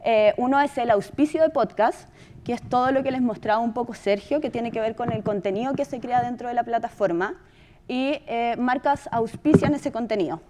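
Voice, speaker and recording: A woman's voice: fairly high pitch, normal speed, warm tone, a little hiss or hum. A Chilean woman giving an informal conference presentation about her company's work, talking conversationally and repeating words for emphasis.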